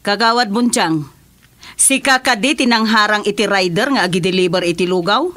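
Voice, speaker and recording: A woman's voice: mid-range voice, normal speed, a bit bright, close to an everyday voice. A woman with an Ilocano accent playing a bossy, exclamatory female village captain in a radio comedy drama. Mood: scolding. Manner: exclamatory, scolding.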